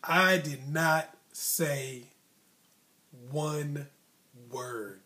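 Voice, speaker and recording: A man's voice: fairly high pitch, very slow, bright, forceful tone. A man hosting an advice vlog, telling a personal story in a casual, colloquial, conversational way and voicing remembered dialogue. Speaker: online advice host telling personal stories.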